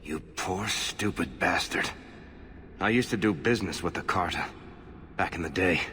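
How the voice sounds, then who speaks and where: An actor playing a roguish dwarf adventurer in a video game, sardonic and wisecracking. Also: male voice, sits low, moderate pace, pushed and bright, slightly rough, long reverb tail, exaggerated voice. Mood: rueful. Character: wry, roguish male dwarf adventurer and storyteller. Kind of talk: conversational video game acting.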